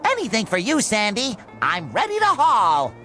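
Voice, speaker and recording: A man playing an excitable, naive young male sea sponge in a cartoon game, with a squeaky, nasal, gushing voice. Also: moderate pace. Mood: cheerful, gushing. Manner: gushing, exuberant, childlike. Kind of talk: cartoon game cutscene acting. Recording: music under the voice.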